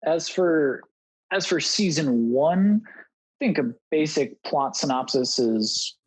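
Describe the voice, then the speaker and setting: A man's voice: fairly high pitch, expressive, slow pace, husky, no echo, rough recording. A man speaking as a podcast guest and writer in a casual panel discussion.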